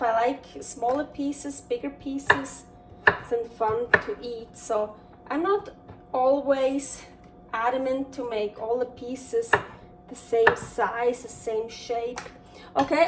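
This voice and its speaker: High-voiced Swiss woman, slow and chatty. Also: recorded from a distance, very noisy. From Switzerland. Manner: chatty and rambling, many fillers and restarts. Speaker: cooking show host. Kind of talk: casual cooking vlog chat. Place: home kitchen.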